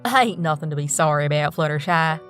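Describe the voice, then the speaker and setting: A woman's voice: sits low, lively intonation, fairly quick, fairly bright tone, faint music behind, pitched below the performer's own voice. A woman voicing a plainspoken, kindly farm pony mare with a Southern US accent in a storybook tale, folksy and easygoing. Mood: reassuring.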